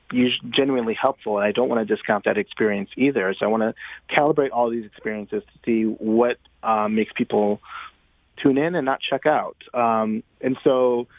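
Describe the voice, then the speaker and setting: A dry, band-limited remote line carries an American man's voice with noticeable creak. He talks conversationally as an interview guest, feeling out his phrases in a halting, thoughtful way. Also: medium pitch, no room sound. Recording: muffled, phone-like remote line.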